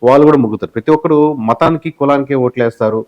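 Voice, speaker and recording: A man's voice: medium pitch, very fast, dull audio. A man with a Telugu accent giving explanatory interview answers as a political analyst, mixing in English words and phrases. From Telugu-speaking southern India. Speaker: guest, election survey company head.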